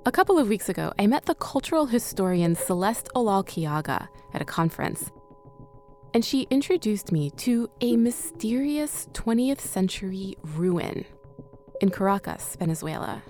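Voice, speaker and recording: A woman's voice: mid-range voice, big pitch swings, moderate pace, darkish tone, faint music behind. A woman hosting a radio documentary, reading scripted narration. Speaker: host.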